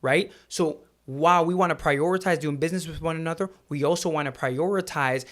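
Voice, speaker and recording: A man's voice: fairly high pitch, a little fast, fairly bright tone. Earnest man explaining points on a podcast. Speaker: podcast co-host. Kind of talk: conversational podcast discussion.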